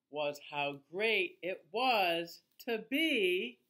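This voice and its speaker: A man reads a picture book to young children. His pitch swings widely and he takes his time, stretching words out very slowly. The delivery is exaggerated and teacherly. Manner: animated, exaggerated, drawn-out delivery with gasps. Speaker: teacher reading aloud to children. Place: small indoor room, seated facing camera.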